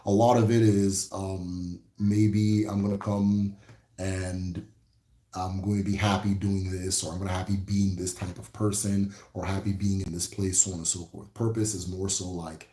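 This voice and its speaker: A man with an Igbo accent talks through a livestream lesson on spiritual ideas in a low, mellow voice. His delivery is relaxed and reflective, and he repeats key phrases and keeps checking in with "right?". The sound is roomy and echoey. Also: moderate pace. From Igbo, Nigeria. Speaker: spirituality teacher hosting a livestream. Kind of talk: casual livestream teaching.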